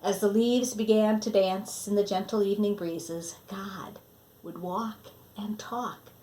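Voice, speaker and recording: A woman reading a children's story aloud expressively, with deliberate phrasing that sometimes drops to a hush. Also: mid-range voice, fairly even intonation, poor audio. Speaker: storybook reader.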